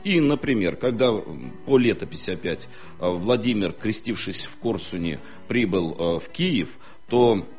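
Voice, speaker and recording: A man with a low voice holds forth like a historian on a talk show. He talks in a measured, lecturing way, often pausing to hesitate. The recording sounds muffled and lo-fi, with faint music underneath. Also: animated. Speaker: historian guest. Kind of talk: studio talk-show discussion.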